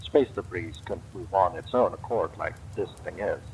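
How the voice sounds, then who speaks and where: A man voicing a calm, grave administrator of a space pod, speaking in a measured, matter-of-fact way. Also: deep voice, slight background noise, low-quality recording.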